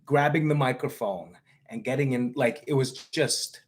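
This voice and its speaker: A man in his thirties from French Canada, a wrestler co-hosting a casual chat, excitable and full of restarts. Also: medium pitch, normal speed. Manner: excitable, hesitant restarts, shouts "woo". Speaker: wrestler and co-host.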